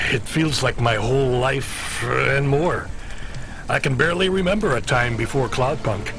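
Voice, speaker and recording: Gravelly, weary middle-aged man played by an actor. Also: medium pitch, background noise, close to an everyday voice. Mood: weary, friendly. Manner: hesitant, halting, world-weary. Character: weary, grieving middle-aged male courier dispatcher. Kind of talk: video game dialogue. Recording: music behind the voice.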